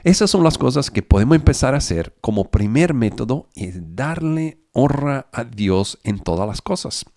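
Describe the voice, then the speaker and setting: A man preaching in Spanish, which is not his first language, speaking haltingly and often correcting himself. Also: medium pitch, lively intonation, dark tone, no echo. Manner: halting, with fillers and self-corrections. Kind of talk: online sermon.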